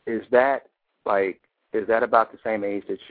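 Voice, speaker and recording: A co-host on a call-in radio show, playful and joking, laughing a lot between remarks. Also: male voice, fairly low pitch, steady tone, normal speed. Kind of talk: casual call-in radio chat. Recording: tinny phone line.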